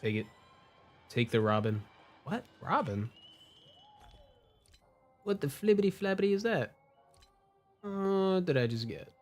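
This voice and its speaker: A man's voice: high-pitched, very animated, measured pace, pauses often. A young man doing casual, slangy live game commentary in a playful, joking manner. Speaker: game streamer. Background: game background music.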